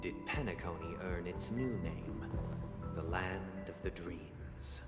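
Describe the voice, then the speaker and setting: An actor playing a solemn, formal storyteller who narrates a grand allegorical history in a video game. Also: male voice, sits low, takes it slowly. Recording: echoey hall reverb under loud music.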